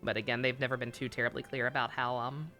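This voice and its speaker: A woman's voice: very deep voice, flat delivery, fast talker, bright, forceful tone, faint music behind. A woman chatting casually on a game livestream, rambling and blunt, with some swearing.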